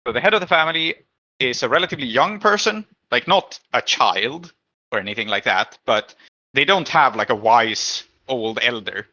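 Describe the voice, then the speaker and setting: Male game master, bright crisp delivery, improvised narration with thoughtful hesitations, slightly dull lo-fi recording. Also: mid-range voice, expressive, normal speed.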